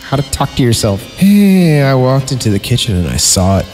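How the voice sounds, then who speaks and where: A man's voice: mid-range voice, big pitch swings, a little fast, darkish tone. A man hosting a horror-story podcast, reading in a mock-dramatic style and given to sarcastic comic asides. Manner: sarcastic, breaking off from narration into giggly asides. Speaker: podcast host reading creepypasta. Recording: reverberant voice over background music. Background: music.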